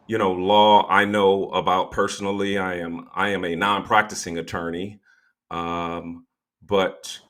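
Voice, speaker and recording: Deep-voiced host guy, slow talker, lots of ums and starting over. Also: a bit bright. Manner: hesitant, many ums and restarts. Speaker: show host, non-practicing attorney. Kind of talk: interview hosting.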